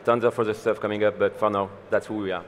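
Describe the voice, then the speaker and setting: A man in his thirties with a somewhat low voice explains his views as a conference panelist. He sounds relaxed and speaks through a headset mic. A long hall reverb and faint hum surround him. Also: fast talker. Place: large conference hall stage.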